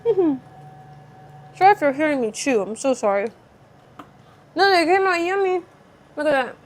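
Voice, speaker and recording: A woman's voice: sits high, big pitch swings, moderate pace. A young woman from Southern California with a light Californian accent, chatting casually with viewers while she cooks in her home kitchen and reads out their comments. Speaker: vlogger cooking live and answering chat. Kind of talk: casual live-stream chat.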